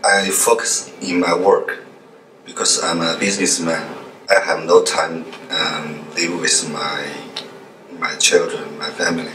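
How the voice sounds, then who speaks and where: A middle-aged man, speaking haltingly in a documentary interview. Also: low voice, level tone. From China. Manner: halting, simple phrasing. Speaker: immigrant interviewee. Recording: roomy room, distant mic, faint noise.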